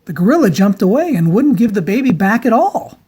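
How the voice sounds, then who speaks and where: A woman narrating a children's storybook aloud in a dramatic, theatrical style with deliberate emphasis. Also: medium pitch, very animated, warm tone, husky.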